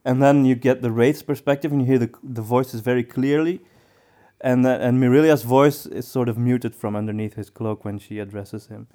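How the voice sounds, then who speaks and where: A man's voice: mid-range voice, steady tone, normal speed, dark tone. A man co-hosting a podcast, chatting casually in a thoughtful way with hesitant phrasing. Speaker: podcast co-host. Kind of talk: casual discussion.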